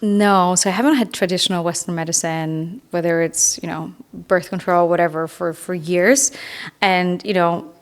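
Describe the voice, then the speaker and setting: A woman's voice: on the low side, fairly even intonation, moderate pace. A woman in her thirties talking casually as an interview guest, informal and chatty, often saying "like". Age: 30s. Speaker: podcast guest, biohacker and author.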